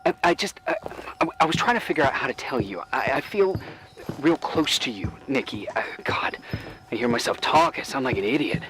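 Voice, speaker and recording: A man's voice: medium pitch, huge pitch swings, a little fast, a bit bright, low-quality recording. An actor playing an awkward, earnest young man in a video game, speaking hesitantly and helpfully as a voice on a phone. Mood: flustered, shy. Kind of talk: naturalistic video game voice acting.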